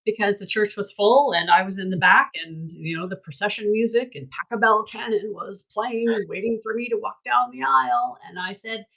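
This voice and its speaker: Blunt, husky-voiced woman host. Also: mid-range voice, normal speed, hardly any pauses, fairly bright tone, rough recording. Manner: emphatic and blunt, swears freely. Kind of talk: candid casual chat.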